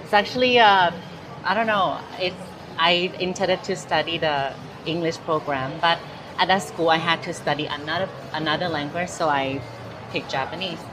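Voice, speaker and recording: Thai woman with a low voice, chatty. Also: steady tone, noisy background. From Thailand. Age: 30s. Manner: chatty, halting, many fillers and restarts. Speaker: interviewee. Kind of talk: casual street interview. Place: large indoor mall hall. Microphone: clip-on lapel mic.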